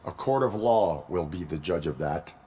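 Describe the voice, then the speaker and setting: A man voicing a stoic cyborg police officer in a video game, speaking in a formal, stilted, deadpan way. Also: low-pitched, normal speed, very noisy. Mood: stern, measured.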